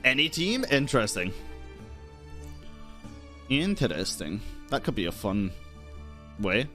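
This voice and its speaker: Male streamer, lively intonation, higher pitch, casual gaming commentary, sarcastic asides, game music behind. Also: slow pace. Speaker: streamer playing a video game.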